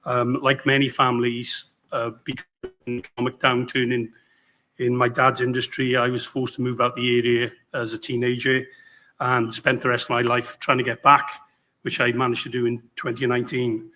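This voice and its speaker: A middle-aged man whose voice is hoarse and somewhat croaky. He speaks in a flat, monotone but bright tone, pausing on fillers and restarting phrases as he addresses a hustings in a public hall. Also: mid-range voice, faint music behind. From Pembrokeshire, Wales. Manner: halting, with many fillers and restarts. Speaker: election candidate. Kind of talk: hustings speech.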